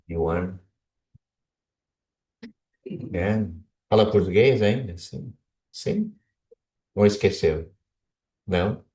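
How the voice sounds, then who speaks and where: This is a man born in Brazil with a low, deep voice, talking informally to a congregation before he preaches. He laughs often and keeps checking in with "right?" and "you know". The sound carries the echo of a large hall, picked up from some distance away. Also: takes it slowly, long pauses, dark tone. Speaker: visiting preacher. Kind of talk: casual chat with a congregation before a sermon. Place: church hall. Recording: echoey hall, mic from a distance.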